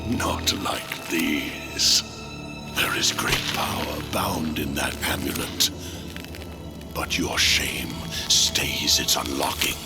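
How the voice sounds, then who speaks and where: Deep slow undead king, bossy n ominous, big game music behind. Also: full character voice. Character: commanding, merciless male king of the dead. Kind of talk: video game cutscene acting. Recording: loud cinematic music behind.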